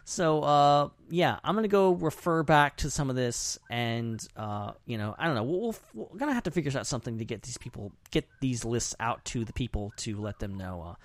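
A man's voice: mid-range voice, lively intonation, brisk, hardly any pauses, dry recording. A man hosting a podcast in casual, enthusiastic chat, effusive and prone to repeating phrases for emphasis. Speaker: podcast host.

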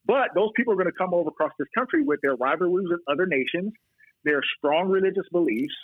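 A man talking about policing as a podcast guest, telling stories fast and emphatically with quoted exchanges. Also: sits high, lively intonation, slightly rough, no echo. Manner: fast, emphatic storytelling with quoted back-and-forth. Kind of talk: casual podcast chat. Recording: tinny phone-line audio.

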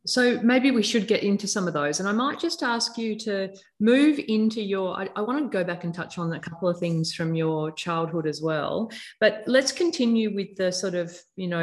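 A woman hosting an interview, her voice slightly low. She steers the talk gently, asking follow-up questions in a relaxed, conversational way.

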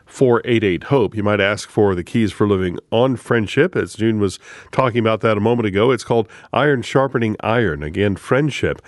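A man co-hosting a radio show, reading announcements in a smooth, steady way. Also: on the low side, no room sound, studio quality.

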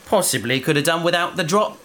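An actor playing a wry companion who answers with deadpan understatement. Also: mid-range voice, fast, slight background noise, close to an everyday voice. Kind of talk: audio drama acting. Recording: loud music score under voice.

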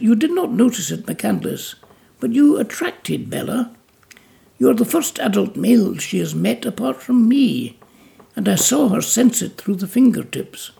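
A man voicing a calm Victorian surgeon who lectures in measured, clinical terms. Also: high-pitched, gravelly, heavily acted. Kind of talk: audiobook character voice.

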